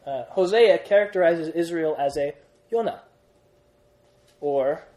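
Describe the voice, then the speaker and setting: A young man leading a Bible study class, energetic, quizzing the group and often restarting his sentences. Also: a little high, measured pace, low-quality recording. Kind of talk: classroom Bible lesson with questions.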